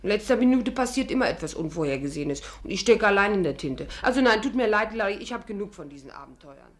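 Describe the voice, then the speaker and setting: A plucky young woman detective acted by a woman in a vintage radio play. She speaks in a middle register and is quick to protest, with a theatrical fifties delivery. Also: mid-range voice, lively intonation, close to an everyday voice. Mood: exasperated. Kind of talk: 1950s German radio drama acting.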